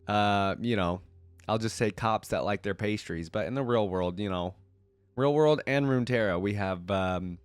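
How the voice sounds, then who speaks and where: Congested low-voiced man reading and commenting. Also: dry recording. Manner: rambling, thinking aloud in asides. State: congested from a cold. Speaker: reaction video host. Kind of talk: reading a story aloud with casual commentary.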